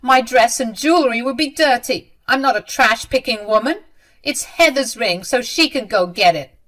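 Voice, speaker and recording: A woman's voice: mid-range voice, expressive, measured pace, a bit bright. A snobbish, middle-aged mother-in-law, as a woman narrator plays her, with a haughty and scornful way of speaking. She is cruel and full of her own status in this dramatized story. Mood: indignant.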